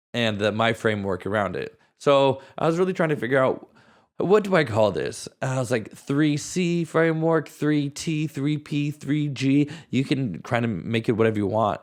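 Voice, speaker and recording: Casting note: hoarse, raspy man, loose and chatty solo podcaster, mid-pitched voice. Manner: casual, rambling, thinks aloud with restarts. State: hoarse from a cold. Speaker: podcast host. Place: at home.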